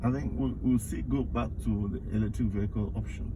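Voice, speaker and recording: A man's voice: lowish voice, fairly even intonation, brisk. A man from Cameroon, answering questions in a casual interview in a car, hesitant and repeating words as he searches for them. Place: inside a car in city traffic. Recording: tinny phone-like sound with loud car noise.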